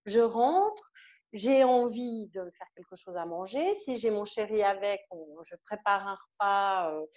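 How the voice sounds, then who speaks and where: A woman's voice: mid-range voice, lively intonation, measured pace, a little mellow. Enthusiastic Swiss French woman, lo-fi call. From Switzerland. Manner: enthusiastic, hesitant with many fillers and restarts. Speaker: client giving a testimonial. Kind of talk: casual testimonial interview.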